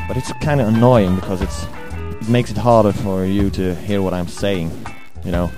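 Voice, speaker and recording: Hungover swedish dude rambling into a buzzy home mic, lowish mellow voice, music faint behind. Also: male voice, normal speed. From Sweden. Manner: rambling, crude, deadpan, hesitant. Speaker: amateur audio ranter. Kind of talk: rambling crude home-recorded monologue. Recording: home recording with buzz and music.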